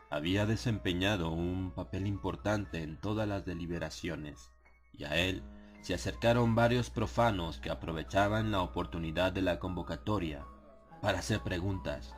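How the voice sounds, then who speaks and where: A man with a low, deep voice narrates a dramatized horror audiobook. His delivery is solemn and measured, with a literary feel. Soft music plays behind him. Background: atmospheric music.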